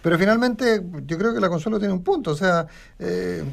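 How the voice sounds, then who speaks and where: A man's voice: high voice, brisk, creaky. A man with a Chilean accent commenting in a live radio conversation, often repeating words as he searches for the next one. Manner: hesitant, stammering repetition of words. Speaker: radio show panelist.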